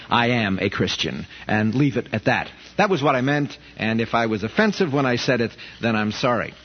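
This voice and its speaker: A man's voice: mid-range voice, slightly rough. A man from Brooklyn, earnestly answering listener questions in a conversational Bible study talk. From Brooklyn, New York. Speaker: ministry leader answering questions. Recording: dull, reverberant radio broadcast.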